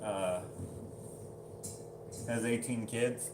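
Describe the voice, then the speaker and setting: A man's voice: mid-range voice, steady tone, extremely slow, background noise. A man casually chatting on a livestream, reading viewer comments aloud and laughing often. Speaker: livestream host.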